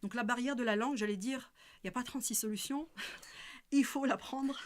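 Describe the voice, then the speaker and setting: A woman's voice: a little high, normal speed. A woman giving a live spiritual talk, speaking with many hesitations, fillers and restarts. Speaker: religious speaker. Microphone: live stream.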